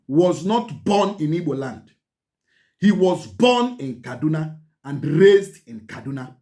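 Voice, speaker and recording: Nigerian guy, kinda high voice, lecturing hard on history, keeps repeating himself for emphasis. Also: darkish tone. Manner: emphatic, repeats key points, urges listeners to pay attention; mixes Igbo phrases into English. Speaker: channel host. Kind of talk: history commentary to camera.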